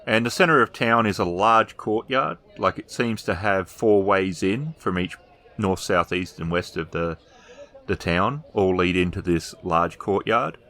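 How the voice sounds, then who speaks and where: A man narrating a tabletop role-playing game as storyteller, improvising descriptions with hesitant pauses. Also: deep voice, pushed and bright.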